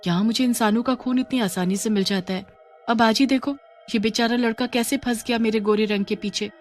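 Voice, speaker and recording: A woman's voice: fairly high pitch, steady tone, fairly quick, darkish tone, soft background music, pitched above the performer's own voice, lightly acted. A woman voicing a scheming, bloodthirsty young witch. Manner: menacing, taunting, muttering to herself. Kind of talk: Hindi horror story character acting.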